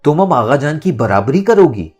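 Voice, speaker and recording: Angry young antagonist, performed by a male narrator: loud, accusing, swooping pitch, audio-novel drama with music. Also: very animated, moderate pace, husky. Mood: threatening. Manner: shouting, accusing. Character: hot-tempered, threatening young man, politician grandson. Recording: music under the voice.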